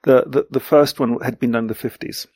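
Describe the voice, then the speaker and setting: A man in his sixties whose mother tongue is German, speaking reflectively and haltingly as an interview guest, often repeating words for emphasis. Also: mid-range voice, steady tone, darkish tone, breathy edge, no room sound, studio quality. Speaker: guest, literary translator. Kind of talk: reflective podcast interview talk.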